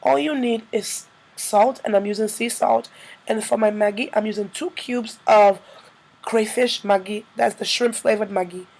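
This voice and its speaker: A woman with a slightly hoarse, congested-by-a-cold voice, narrating a casual cooking tutorial as a vlogger. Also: mid-range voice, steady tone, normal speed, darkish tone.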